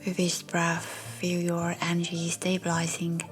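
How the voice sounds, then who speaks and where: Soft, low-pitched woman guiding a meditation. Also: monotone, a little slow. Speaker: meditation guide. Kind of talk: guided meditation narration. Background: soft background music.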